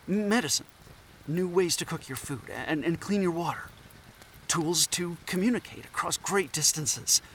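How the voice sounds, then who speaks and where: Man playing an earnest, higher-voiced captive anthropologist. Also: a bit bright, a little hiss or hum, lightly acted, poor audio. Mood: nervous, persuasive. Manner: halting, persuasive. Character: earnest scatterbrained male anthropologist, captive and pleading. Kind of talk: audio drama acting.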